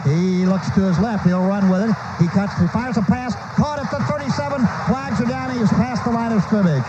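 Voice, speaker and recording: A high-voiced American man calls football play by play on the radio. His clipped running phrases swell as the play develops. The broadcast is muffled, with clear noise behind him. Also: fairly quick. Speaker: play-by-play announcer. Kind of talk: live radio football commentary. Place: stadium broadcast booth.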